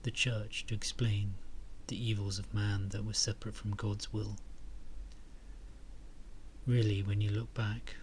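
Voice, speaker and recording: Male meditation guide, low voice, flat unhurried intonation, soft calm delivery, breathy phrase endings, faint-to-clear background noise. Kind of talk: guided meditation and spoken reflection.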